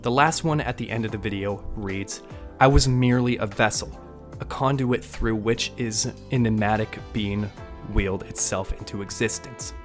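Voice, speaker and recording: A man's voice: lowish voice, normal speed. A man hosting an online video, giving scripted breakdown narration with casual touches. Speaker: YouTube host and narrator. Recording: voice-over with music underneath. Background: music.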